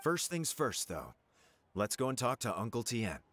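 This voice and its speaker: A man's voice: medium pitch, sing-song, moderate pace, fairly bright tone. A man playing a cocky, hot-headed young junior official in a video game, brash and confident. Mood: confident, upbeat.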